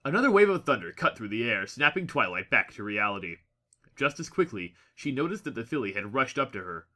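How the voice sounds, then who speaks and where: A man narrates a story in a medium-pitched voice with a bright, forceful edge. His delivery is scripted and expressive, like a dramatic audiobook. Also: brisk.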